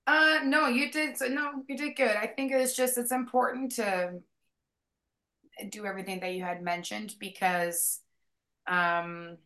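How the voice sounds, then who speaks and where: A woman's voice: mid-range voice, steady tone, unhurried, pushed and bright. A woman speaking casually and supportively, adding a short comment.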